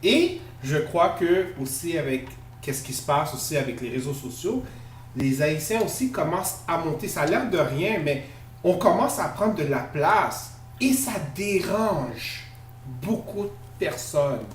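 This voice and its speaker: A man's voice: a little high, lively intonation, measured pace, distant mic. A man from Quebec with a Quebec French accent, co-hosting a podcast in casual discussion, earnest and emphatic, repeating words as he searches for them. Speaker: podcast co-host. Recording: echoey room, off-mic, faint noise.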